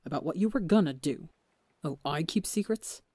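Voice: mid-range voice, lively intonation, fairly quick, warm tone, no echo, clean studio sound, close to an everyday voice. A storybook reader voicing a bitter, resentful young male pirate crewman who lashes out with accusations in a raised voice. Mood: bitter accusing.

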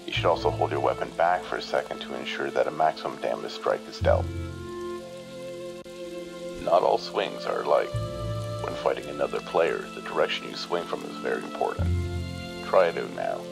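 A very deep, gravelly combat instructor gives stolid, monotone tutorial guidance in a video game. Music and rain play clearly behind the voice. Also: male voice, moderate pace, long pauses, heavily acted. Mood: stolid, instructional. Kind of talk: scripted tutorial narration.

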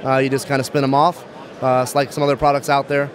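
A man's voice: medium pitch, level tone, fast talker, crowd noise. A man in his thirties demonstrating a product in an interview, speaking into a handheld microphone at a trade show. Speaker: brand manager demonstrating a product. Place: busy trade show floor.

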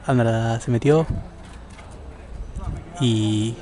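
An Argentine man giving measured live tennis commentary from an outdoor court, pausing between plays. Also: mid-range voice, warm tone. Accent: Argentine Spanish. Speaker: amateur tennis match commentator. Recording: noisy amateur camera audio, clicks.

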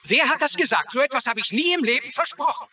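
A man's voice: extremely high pitch, expressive, fast talker, bright, forceful tone, husky, full character voice. A man playing an indignant small-town mayor in a TV drama, speaking emphatically as if addressing his voters. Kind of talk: dubbed TV drama dialogue in German.

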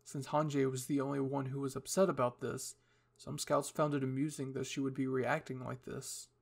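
A man's voice: medium pitch, flat delivery, normal speed, creaky. A man reading a scripted story in the first person as its narrator. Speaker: fan fiction reader.

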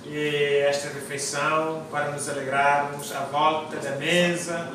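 A man's voice: fairly high pitch, level tone, a little slow. A young man cheerfully greeting the camera and joking as he cooks for his brothers in a large tiled kitchen, filmed on a phone. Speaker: seminarian cook. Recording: echoey kitchen, distant phone mic, loud noise.

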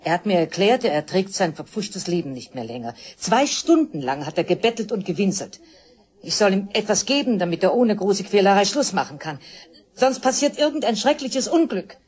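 A woman's voice: medium pitch, very animated, moderate pace, a little mellow, breathy edge. An actress playing an imperious, stubborn older mother in a crime radio play, with a firm, cutting, formal way of talking. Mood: indignant, accusing.

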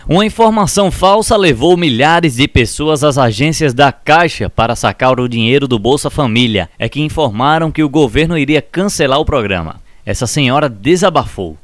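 A man reading TV news reports in an even, formal manner, played from a broadcast clip. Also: medium pitch, moderate pace, hardly any pauses, dry recording. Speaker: news anchor.

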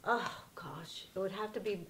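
A woman's voice: medium pitch, moderate pace, a bit bright. A woman in her fifties chatting casually beside her husband in a living room, prompting and correcting him as they read fan questions. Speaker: co-host and wife. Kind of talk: casual chat. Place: living room by a fireplace, sitting on the floor.

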